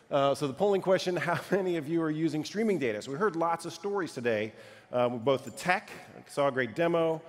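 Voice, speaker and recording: A middle-aged man hosting a conference keynote on a large stage, upbeat and chatty, with loose fillers. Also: medium pitch. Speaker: keynote host. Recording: reverberant conference hall.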